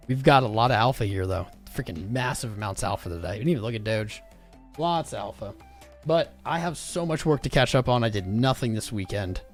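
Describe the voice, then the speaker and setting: Casual male streamer, middle pitch, slangy and rambling, voice worn thin, soft music playing in the background. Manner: rambling, slangy, talks straight to chat. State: losing his voice. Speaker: crypto livestream host. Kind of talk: casual livestream chat.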